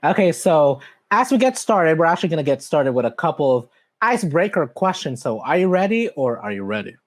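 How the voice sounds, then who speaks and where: Bubbly, laughing podcast host. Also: male voice, very animated, fairly quick, dry recording. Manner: bubbly, laughs often, swings up high in pitch; self-correcting, chatty. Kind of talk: casual interview chat.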